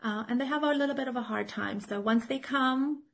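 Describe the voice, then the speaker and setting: A woman's voice: high-pitched, brisk, gravelly. A middle-aged woman from Romania, chatting in an interview as a children's author and teacher, full of fillers and restarts. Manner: chatty, many fillers and restarts, laughs often. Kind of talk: casual interview chat.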